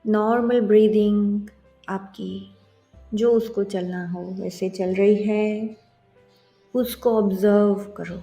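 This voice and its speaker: A woman leading a guided meditation as a yoga instructor, soothing and gently instructing with long pauses. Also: medium pitch, fairly even intonation, creaky. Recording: roomy echo. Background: soft music.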